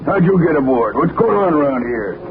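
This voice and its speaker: An actor playing a gruff, suspicious male ship watchman in a 1940s radio drama, loud and folksy. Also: fairly high pitch, expressive, fairly quick. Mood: defiant. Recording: tinny old radio broadcast with hiss.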